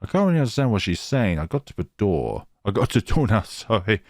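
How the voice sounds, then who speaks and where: A man reading a horror visual novel aloud in a theatrical, halting way, with casual asides. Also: fairly low pitch, sing-song, a little mellow, no echo, clean studio sound.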